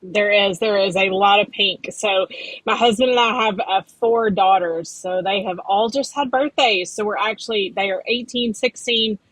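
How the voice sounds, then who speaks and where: A woman's voice: mid-range voice, steady tone, a bit bright, no echo, rough recording. Upbeat woman guest on a podcast. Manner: friendly, upbeat, quick quips. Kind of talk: casual podcast chat.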